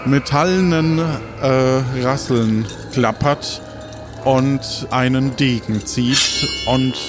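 A man's voice: medium pitch, drawn-out words, over music. A man acting as game master, improvising the narration of an audio adventure game and answering a player.

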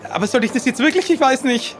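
A man's voice: extremely high pitch, expressive, fast, noisy background. A man playing a nervous young pirate lad who stammers and hesitates, in an improvised comic audio play. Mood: hesitant, fearful. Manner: stammering, hesitant, repeats words.

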